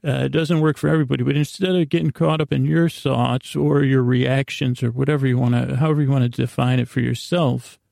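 A weary man with a heavily creaky, dark voice talks listeners toward sleep in a close, dry recording. His delivery is relaxed and little varied in pitch, wandering through self-deprecating asides. He stops often for long, pondering pauses. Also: no room sound. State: tired, worn down. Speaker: podcast host and bedtime storyteller. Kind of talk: rambling, meandering bedtime podcast monologue.

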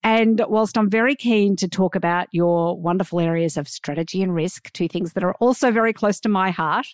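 A woman's voice: fairly low pitch, moderate pace, no room sound, clean studio sound. A woman with an Australian accent hosting a podcast, introducing and interviewing with an enthusiastic, emphatic manner. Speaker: podcast host.

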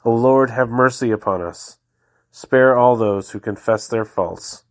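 A man with a head cold, hosting a daily prayer podcast and reading the liturgy in a calm, measured way. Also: medium pitch. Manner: calm, measured, devotional reading with slow pauses.

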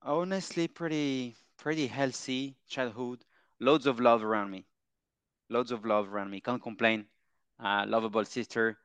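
French man with a smooth, clear voice. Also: medium pitch, steady tone, moderate pace, a bit bright, no echo. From France. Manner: hesitant, repeats words and phrases, many fillers. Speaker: mental coach. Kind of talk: relaxed interview answers.

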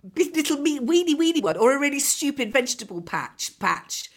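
Chatty woman with a lively voice. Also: sits high, a little fast, a crowd in the background. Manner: chatty, eager back-channel listener. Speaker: podcast co-host. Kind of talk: casual chat.

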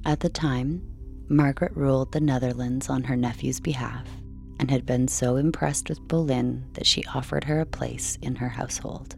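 A woman reading a scripted bedtime story in a slow, measured, soothing delivery. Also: a bass voice, steady tone, gravelly. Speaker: sleep story narrator. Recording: soft music behind.

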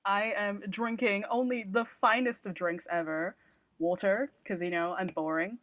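A young woman from Hong Kong with a Hong Kong English accent, a guest answering casual questions in a relaxed, self-deprecating way. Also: medium pitch, normal speed, no room sound. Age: young adult. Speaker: guest, film student. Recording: dull, dry remote call.

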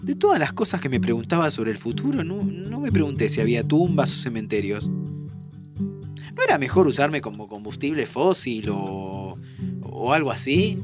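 A man's voice: medium pitch, very animated, moderate pace, loud music behind the voice, lightly acted. An actor playing a joking, encouraging young man from an audio drama, with a Rioplatense Argentine accent and a teasing, colloquial way of talking. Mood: curious, uneasy. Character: joking, encouraging young man, dead friend visiting the future. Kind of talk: naturalistic audio drama acting.

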